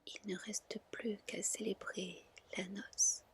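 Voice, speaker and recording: A woman reading a fairy tale as ASMR in a gentle whisper, with hushed, deliberate phrasing. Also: medium pitch, flat delivery, slightly rough. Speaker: ASMR storyteller.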